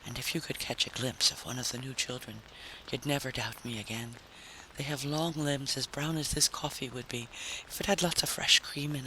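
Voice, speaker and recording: A man plays a sprightly, shifty wanderer spinning fanciful tales in old radio drama. His voice is very bright and crisp in its forcefulness, earnest and coaxing, over faint recording noise. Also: a little high. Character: sprightly, fanciful male tramp, silver-tongued and shifty. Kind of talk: 1950s science fiction radio drama. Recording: noisy vintage radio recording.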